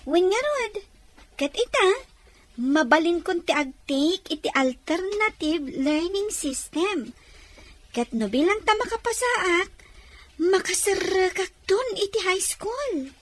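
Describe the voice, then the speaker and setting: A woman's voice: very high voice, animated, takes it slowly, exaggerated voice. A woman playing a young blind girl who is eager to learn, in an audio drama. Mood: hopeful. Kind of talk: audio drama dialogue in Ilocano.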